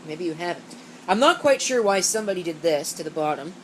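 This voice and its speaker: A woman with a low-pitched voice talks through a product in a plain, rambling way. She reads details off and corrects herself as she goes. The amateur camera microphone picks up a steady noise behind her. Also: brisk, rough recording. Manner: rambling, matter-of-fact, self-correcting. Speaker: gadget reviewer showing an unboxing. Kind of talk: casual unscripted product walkthrough, reading packaging aloud. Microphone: built-in camera microphone. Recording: steady background hum, amateur camera audio.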